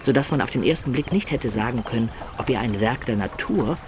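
An actor playing a loyal, observant companion who recounts a medieval campaign in measured, detailed radio drama narration. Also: male voice, mid-range voice, lively intonation, noisy background, lightly acted. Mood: measured storytelling.

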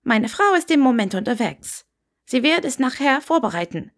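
Woman doing a hoarse old innkeeper guy from a storybook, curt and grudging, pitched up a bit. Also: big pitch swings, moderate pace, full character voice. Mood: gruff, brisk. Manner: curt, terse.

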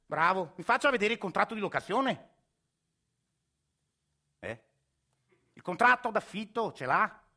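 A patronizing, skeptical officer, as a man plays him in a radio drama, soothing a complainant. He speaks in a somewhat high, bright and forceful voice. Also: expressive, measured pace, pauses often, full character voice. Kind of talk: radio theatre acting.

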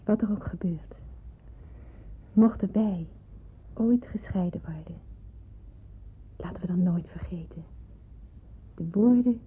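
A devout young daughter in a wartime radio play. Her voice is very husky and breathy, and she speaks with solemn, measured earnestness. The old recording sounds thin and phone-like. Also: lowish voice, exaggerated voice. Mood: solemn, hopeful. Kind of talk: radio drama narration.

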